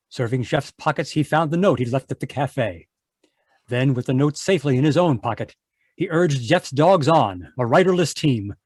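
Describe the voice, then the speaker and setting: A man's voice: medium pitch, darkish tone, dry recording. Dry-sounding male radio drama narrator. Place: home, live online video performance.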